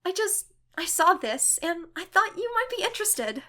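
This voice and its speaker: A tactful, bookish young woman, acted by a woman for an audio drama. The pitch is high and lively. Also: normal speed, heavily acted. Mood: tentative.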